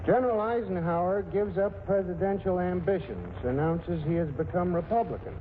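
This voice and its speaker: A man's voice: sits high, normal speed, natural voice. An American man playing a mild, distracted, henpecked father in a 1940s radio comedy. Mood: amused, wry. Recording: noisy, tinny 1940s radio recording.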